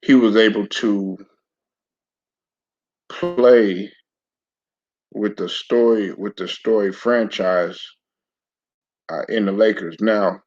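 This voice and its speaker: A man with a low, raspy voice, hoarse from a throat bug, talks slowly and loosely to his viewers about sports. He keeps stopping, restarting and clearing his throat. The sound is dull and lo-fi, like a cheap home setup. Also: fairly even intonation, darkish tone. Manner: laid-back, stop-start, repeats words. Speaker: sports commentary channel host. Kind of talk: casual live-stream talk to viewers.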